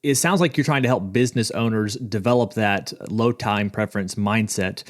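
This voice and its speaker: Male podcast host, lower voice, measured and deliberate questioner. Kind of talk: interview questions.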